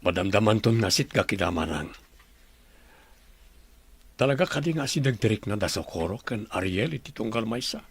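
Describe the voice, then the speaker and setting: A man's voice: fairly low pitch, expressive, moderate pace, pauses often, husky, dry recording. A man playing a devoted, dutiful doctor husband in an Ilocano radio drama, tender and earnest, with an Ilocano accent. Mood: concerned.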